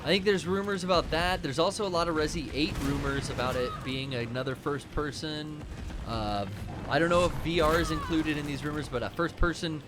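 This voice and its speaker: A man's voice: a little high, fairly quick, noisy background. A man in his thirties co-hosting a gaming stream, chatting casually while he plays and reacting aloud to the game. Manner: lively, reacts loudly to gameplay. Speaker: co-host playing a video game on stream. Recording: game music playing behind.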